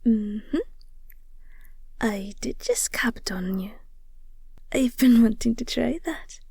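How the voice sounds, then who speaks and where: Woman acting a breathy, teasing young girlfriend, very unhurried. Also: on the high side, a little mellow, full character voice. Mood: playful, pouty.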